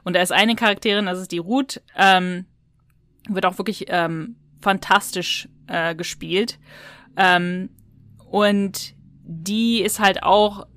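A woman's voice: mid-range voice, steady tone, slow, fairly bright tone, dry recording. A woman co-hosting a podcast, chatting casually and retelling things in a colloquial, rambling way. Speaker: podcast co-host. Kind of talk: casual podcast chat, reviewing audio dramas.

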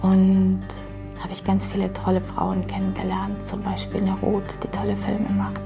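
Middle-aged woman, gravelly candid voice. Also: medium pitch, monotone. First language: German. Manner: candid, reflective, with restarts and pauses. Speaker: interviewee, children's ministry worker. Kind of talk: personal interview testimony. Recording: music playing underneath.

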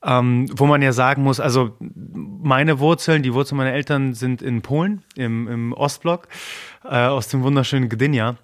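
A man whose first language is Polish, talking in a personal interview in an earnest, emotional way, pausing often with fillers and repeating words as he searches for them. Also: mid-range voice. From Germany. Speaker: interview guest.

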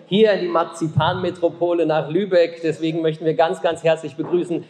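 A man's voice: a little high, little pitch movement, a little fast, a little mellow. Man formally welcoming an audience. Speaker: theater staff presenter. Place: theater restaurant, indoors.

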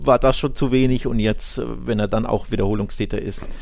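A man's voice: on the low side, steady tone, brisk, a little mellow. A man co-hosting a football podcast, discussing the game in a colloquial, emphatic way. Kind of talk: casual sports discussion.

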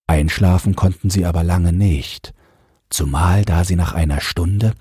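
A man's voice: a little mellow, dry recording, clean studio sound. A man narrating a fairy tale from a script, as the storyteller of an audio drama. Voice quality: deep, very husky.

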